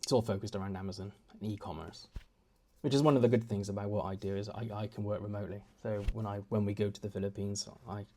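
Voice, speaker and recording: Young guy rambling casually at home, low-ish voice, lots of false starts, pokes fun at himself. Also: fairly even intonation, quick pace, dark tone. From United Kingdom. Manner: rambling, self-deprecating, many restarts. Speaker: vlogger. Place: home, indoors.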